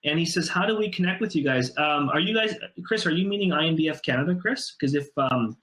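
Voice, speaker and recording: A man's voice: on the high side, lively intonation, fast talker, fairly bright tone. A man hosting a casual online interview in an effusive, enthusiastic way, recorded over an echoey call. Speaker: interview host, mindset coach.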